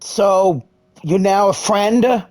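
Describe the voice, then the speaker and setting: A person reading video game dialogue aloud in an earnest, deliberate manner. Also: medium pitch, darkish tone. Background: music.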